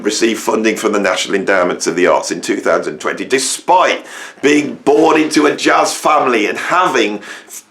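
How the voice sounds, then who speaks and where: Middle-aged English musician-host, gravelly and croaky, mid-pitched, emphatic rambling opinion piece. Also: male voice, a bit bright. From England, near Birmingham. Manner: rambling, emphatic, many fillers and restarts. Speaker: musician and educator, channel host. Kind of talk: opinionated monologue to camera.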